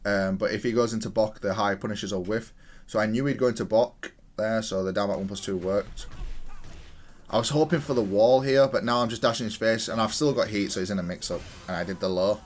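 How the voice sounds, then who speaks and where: A man's voice: on the low side, brisk. A man giving casual, matter-of-fact commentary as he analyses a video game match, explaining each move. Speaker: gaming content creator.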